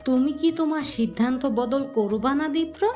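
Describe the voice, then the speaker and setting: A high-pitched old matriarch, acted by a woman, lays down the law in an audio drama. She is firm, stern and used to being obeyed. Faint music runs beneath her. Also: moderate pace, dark tone, natural voice. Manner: commanding, firm. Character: stern, authoritative old grandmother, family matriarch.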